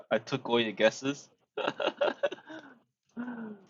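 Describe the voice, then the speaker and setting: Slow-talking guy live-coding. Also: a little high, big pitch swings, a bit bright, slightly rough, dull audio. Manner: thinks aloud, restarts phrases, chuckles often. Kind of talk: casual live-stream coding chat.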